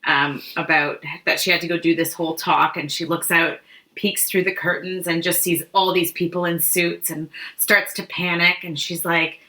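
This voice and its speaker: Woman, crisp bright tone, lowish pitch, animated casual retelling. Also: normal speed, poor audio. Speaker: book club podcast co-host. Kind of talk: casual storytelling chat.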